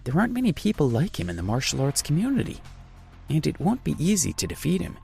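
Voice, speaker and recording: A man's voice: on the high side, wildly swooping pitch, quick pace, gravelly, warm tone, soft background music, pitched above the performer's own voice, exaggerated voice. A man voicing a worried young woman who pleads anxiously with her brother. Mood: worried, pleading. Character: worried protective young woman, his sister.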